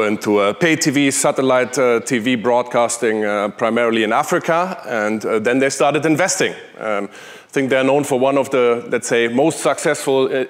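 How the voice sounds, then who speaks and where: A man in his forties with a German accent, giving a conference keynote on a large hall stage through a headset mic, chatty with many fillers and restarts. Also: mid-range voice. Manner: conversational, with frequent fillers and restarts. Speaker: conference speaker, tech executive.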